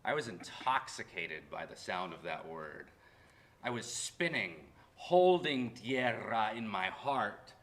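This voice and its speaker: An actor playing a history professor, the grown son of deported immigrants, reminiscing in a theatrical monologue that swings from hushed to loud. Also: male voice, fairly low pitch, lively intonation, slow pace, full character voice. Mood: wistful. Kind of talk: dramatic monologue in a staged play reading.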